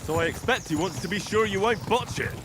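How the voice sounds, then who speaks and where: An actor voicing a loyal, cheerful young bodyguard in a video game, plain-spoken and agreeable. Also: male voice, sits high, fairly quick, noisy background, natural voice.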